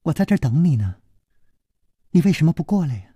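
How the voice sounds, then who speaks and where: Man playing a sneering masked assassin, husky. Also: fairly high pitch, sing-song, fast, dark tone, no echo, clean studio sound, voice pushed up, heavily acted. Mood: taunting. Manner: sneering, taunting. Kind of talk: Chinese wuxia audiobook storytelling.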